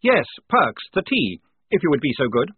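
A man's voice: a little high, big pitch swings, moderate pace, husky, dry recording, narrow, tinny audio, pitched above the performer's own voice, full character voice. A man voicing a nice but worried army officer who is prim, polite and pompous, trying to sound firm. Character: nice, worried young male army officer feigning firmness.

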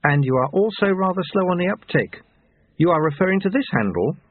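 A severe young woman as a male narrator puts her on, raised in pitch and speaking with withering precision. She is brisk, formal and in full command, an audiobook character heard through a thin, phone-like band. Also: sing-song, no room sound. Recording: narrow tinny phone-like sound.

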